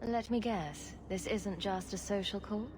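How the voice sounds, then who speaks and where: A woman's voice: medium pitch, unhurried, background noise, close to an everyday voice. An actress voicing a witty, sarcastic female rogue hero in a video game, dry and playful in delivery. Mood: wry. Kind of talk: video game character acting.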